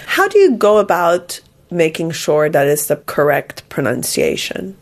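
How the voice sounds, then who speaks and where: A woman hosting a radio interview show, talking lively with her guests and laughing easily. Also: lowish voice, measured pace, dry recording. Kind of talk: presenting and interviewing. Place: office at a broadcaster.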